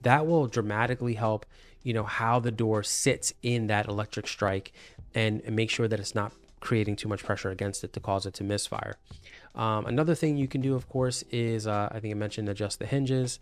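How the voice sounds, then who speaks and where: A man's voice: on the low side, steady tone, normal speed. A man presenting a casual explainer video as an installer, talking in a conversational, explanatory way and repeating points for emphasis. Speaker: presenter, intercom and door hardware installer.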